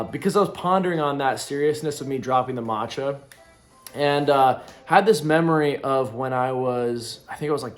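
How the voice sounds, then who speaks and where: A man of thirty talking loosely to camera in a casual reflective vlog, rambling with plenty of ums and likes. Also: medium pitch. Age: 30s. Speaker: vlogger. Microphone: camera in front of him.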